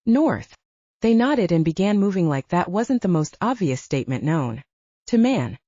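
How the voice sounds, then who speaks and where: A woman's voice: on the low side, very animated, normal speed, dark tone, no echo, dull audio. A woman reading first-person fiction as a scripted audiobook narration.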